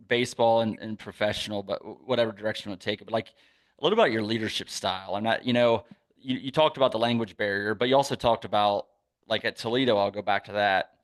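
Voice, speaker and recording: An American man with a fairly low voice hosts a casual interview. He speaks conversationally, with an encouraging, motivational bent, as a podcast host would. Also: fairly quick.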